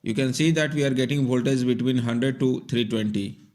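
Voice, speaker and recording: A man's voice: mid-range voice, moderate pace, a little mellow. A man giving scripted, step-by-step instructional narration in a plain, methodical way. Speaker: repair tutorial narrator.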